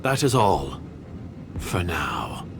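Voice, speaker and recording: A man's voice: low-pitched, sing-song, extremely slow, creaky, very noisy, full character voice. A man voicing a cold, wary, scheming foreign agent with a formal, condescending manner in a video game. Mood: guarded.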